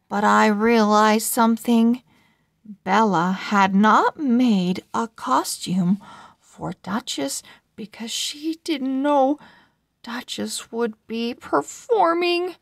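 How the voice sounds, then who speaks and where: A woman reading a children's storybook aloud in a careful, deliberate and expressive narrating style. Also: fairly high pitch, creaky, no echo, clean studio sound. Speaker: children's book read-aloud reader. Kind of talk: slow expressive storybook narration for early readers.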